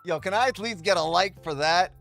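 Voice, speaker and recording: A man's voice: sits high, brisk, gravelly, fairly bright tone, soft background music. A man hosting a day-trading livestream, chatting casually, joking and swearing often. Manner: joking, profane, laughs often.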